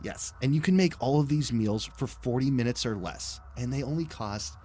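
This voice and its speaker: A man's voice: medium pitch, moderate pace, dark tone. A man hosting a podcast, giving a casual sponsor read with chatty asides. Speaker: podcast host reading sponsor.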